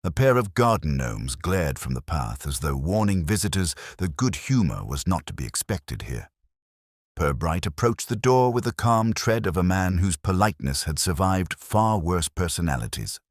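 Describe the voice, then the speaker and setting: Very deep husky male narrator. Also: sing-song, no echo, clean studio sound. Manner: wry, measured storytelling. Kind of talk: scripted story narration.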